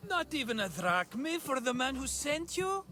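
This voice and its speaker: An actor playing an excitable, scheming Greek hustler in a video game, wheedling and theatrical, with a Greek accent. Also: male voice, very high-pitched, a little slow, full character voice.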